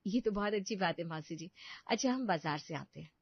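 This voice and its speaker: A woman's voice: on the low side, expressive, brisk, husky, dry recording, highs cut off. A woman voicing a respectful, dutiful young daughter-in-law in a family cartoon, speaking earnestly. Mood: polite. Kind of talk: Hindi family cartoon story voice acting.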